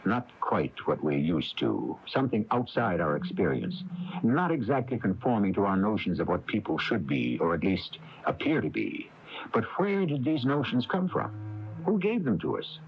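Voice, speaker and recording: Musing narrator with sweeping intonation. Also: male voice, fairly low pitch, huge pitch swings, gravelly, heavily acted. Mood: pensive. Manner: measured, rhetorical. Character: musing, philosophical narrator of a mystery tale. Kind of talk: scripted radio drama narration. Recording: dull, hissy AM radio tape.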